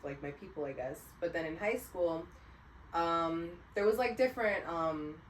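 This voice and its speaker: A young woman co-hosting a podcast, chatting in a relaxed, rambling way with many pauses. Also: fairly low pitch. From United States. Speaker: podcast co-host. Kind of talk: casual chat. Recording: roomy echo, mic at a distance, faint hiss.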